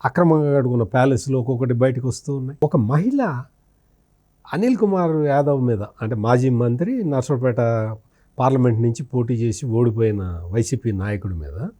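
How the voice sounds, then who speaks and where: A man's voice: medium pitch, expressive, a little fast, warm tone. A man giving political analysis as a journalist, explanatory and emphatic, posing and answering his own questions and repeating phrases. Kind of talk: spoken political analysis in an interview.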